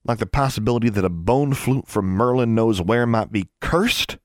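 Guy as tired older brother wizard in an audiobook, low voice, mild dry sarcasm, fed up. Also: animated, moderate pace, no room sound. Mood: exasperated, sarcastic. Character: weary, exasperated older brother, dryly sarcastic young wizard.

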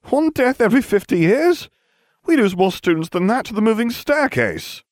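Smug, arrogant schoolboy wizard read by a man, haughty, lively lilt, dry close sound. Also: high-pitched, normal speed, dry recording, pitched above the performer's own voice. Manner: haughty, self-satisfied.

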